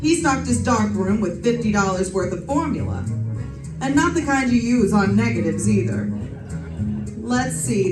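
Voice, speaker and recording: A woman's voice: medium pitch, lightly acted. A woman playing a wry, hard-boiled private detective in a live old-time radio mystery, telling it in slangy style. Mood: wry, breezy. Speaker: radio drama performer. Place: live stage performance in a reverberant room. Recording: echoey room, picked up from a distance.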